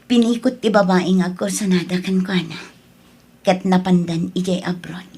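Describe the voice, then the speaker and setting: Woman playing a husky, tender Filipino sweetheart. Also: on the low side, steady tone, normal speed, warm tone. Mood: confiding, tender. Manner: tender, coaxing. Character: affectionate, gentle schoolteacher in love. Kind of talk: audio drama acting.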